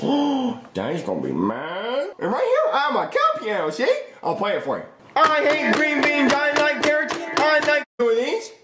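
A man's voice: high voice, wildly swooping pitch, normal speed, few pauses, roomy sound, background noise. A man voicing a bratty, dim, mischievous little boy puppet in a comedy skit, whiny and stubborn. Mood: mischievous, showing off. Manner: whiny, stubborn, often screaming outbursts.

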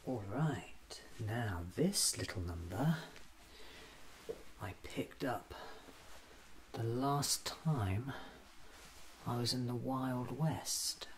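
A man in his thirties doing an ASMR roleplay monologue, often whispering and musing to himself in short halting phrases, recorded with ambient noise. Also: medium pitch, low-quality recording. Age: 30s.

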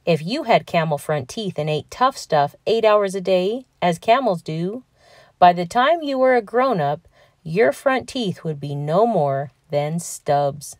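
Female children's read-aloud narrator, lower-pitched, lively intonation, clear enunciation, dry close sound. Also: unhurried, no echo. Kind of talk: scripted storybook narration for children.

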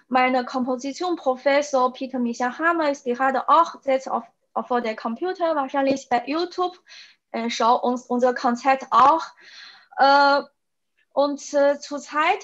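A woman in her thirties from China, a composer, speaking in a careful, halting way with a Chinese accent over a video call from a small room. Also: high voice, fairly bright tone. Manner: careful, halting, frequent hesitations. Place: small plain room, indoors. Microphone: laptop webcam on video call. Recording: dull lo-fi video call audio.

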